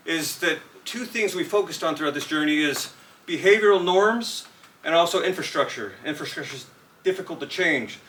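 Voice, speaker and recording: A man's voice: on the high side, bright, forceful tone. A man from California, presenting a student project to a live audience and talking straight to them. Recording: distant mic with light noise in a room.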